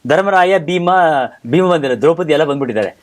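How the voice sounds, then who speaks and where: A man hosting a casual chat, telling anecdotes in an animated way and acting out the quoted speech. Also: a little high, fast talker. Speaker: talk show host.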